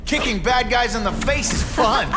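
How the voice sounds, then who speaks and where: A man's voice: extremely high pitch, normal speed. An actor playing a young wisecracking male superhero in a video game, cocky and full of quips. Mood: cocky taunting. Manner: cocky, quippy, joking. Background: loud game music.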